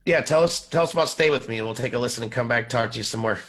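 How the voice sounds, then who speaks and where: A man hosts a relaxed music interview in a chummy, easygoing way. He laughs easily and fills his questions with "um" and "you know". His voice sits at a plain middle pitch. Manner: laid-back, chummy, quick to laugh. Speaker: radio show host. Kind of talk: casual interview hosting.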